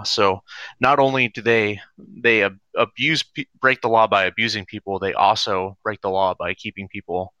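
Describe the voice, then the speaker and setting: Low bright-toned young man, lo-fi call. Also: no echo. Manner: halting, many fillers and restarts. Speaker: former student guest. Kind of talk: casual personal account. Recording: dull lo-fi call audio.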